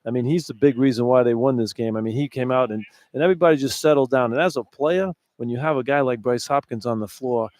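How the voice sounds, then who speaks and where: A man's voice: mid-range voice, fast talker, few pauses, darkish tone. A man works as a color analyst on a sports radio broadcast. He talks conversationally in a mid-range voice, circling back over points to stress them, with a dry, echo-free sound.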